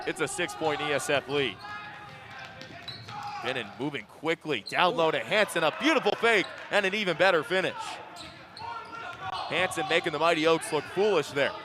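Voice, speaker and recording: A man with a slightly high voice calls a basketball game live as the play-by-play announcer. Loud gym noise and bouncing balls sit behind him. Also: normal speed, long pauses. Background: loud game noise, ball bounces.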